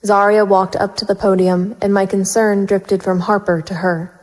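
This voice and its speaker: Woman as even-toned gritty female private investigator. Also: medium pitch, moderate pace, natural voice, low-quality recording. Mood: bitter, tense. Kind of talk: first-person noir narration in audio drama.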